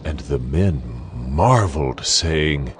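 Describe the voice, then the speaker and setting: A man narrating scripture from a script in a solemn, measured, dramatic style. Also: extremely low pitch, lively intonation. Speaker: Bible narrator. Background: background music.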